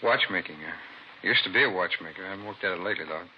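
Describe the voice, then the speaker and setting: Guy playing a shifty defensive suspect in an old radio crime show, talks fast, lowish, noisy muffled tape. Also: lightly acted. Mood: casual, evasive. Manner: evasive, halting, stammering when pressed. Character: evasive, defensive male murder suspect, childlike hobbyist. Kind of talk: 1950s radio drama acting.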